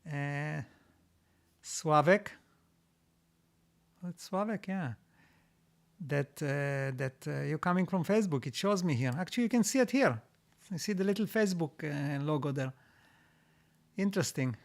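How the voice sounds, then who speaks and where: A man hosting a live stream, reading out viewer comments and answering them in a halting way, with many restarts and repeated words. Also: a little high, animated, creaky. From Israel. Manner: reads out comments, then answers.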